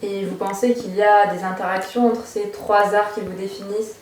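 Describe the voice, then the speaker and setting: A woman's voice: mid-range voice, level tone, moderate pace, a little mellow. A young woman in her twenties interviewing an artist in a gallery, polite and hesitant with fillers. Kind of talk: casual interview. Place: art gallery room. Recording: roomy sound from off mic.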